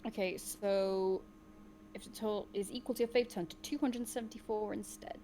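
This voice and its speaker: A woman's voice: mid-range voice, fairly even intonation, moderate pace, warm tone, a little hiss or hum. A woman reading a gamebook aloud to friends as the host, with dramatic storytelling and casual asides. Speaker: game reader and host.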